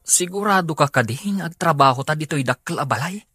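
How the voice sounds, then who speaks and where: An eager young Ilocano job seeker for radio drama. His voice is sharp and rough-edged, jumping widely in pitch. He sounds excitable and deferential. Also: a little fast, no room sound, faint music behind, heavily acted.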